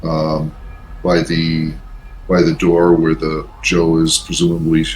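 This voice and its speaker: A man role-playing casually as a player in a tabletop game, halting and thinking aloud as he talks. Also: a bass voice, completely flat delivery, noisy background. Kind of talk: casual in-character role-play.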